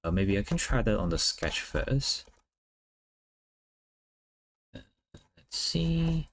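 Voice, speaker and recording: Male streamer, Cantonese native, low voice, soft casual musing, lots of fillers. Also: big pitch swings, measured pace, slight background noise. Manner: soft, musing, thinks aloud with many fillers. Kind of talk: casual livestream chat while drawing.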